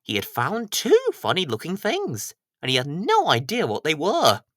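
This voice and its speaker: Male children's storyteller, scripted narration, very animated intonation, close dry sound. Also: fairly high pitch, normal speed, no room sound.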